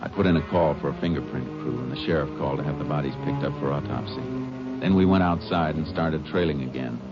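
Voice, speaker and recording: A man playing a calm, commanding Texas Ranger who also narrates, in a 1950s radio drama recorded on a muffled old broadcast. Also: extremely low pitch, fast talker, loud music behind the voice. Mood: narrating. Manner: calm, matter-of-fact, commanding. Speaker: lead detective and narrator.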